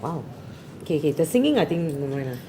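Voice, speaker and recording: A woman's voice: low voice, sing-song, fast talker, mellow. A woman with a Singaporean English accent, co-hosting a live cooking show in a bubbly, exclamatory way. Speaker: presenter and co-host.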